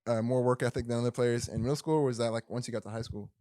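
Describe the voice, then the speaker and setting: Quick-paced male podcast host. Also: mid-range voice, steady tone, warm tone, no room sound, clean studio sound. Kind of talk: casual sports interview questions.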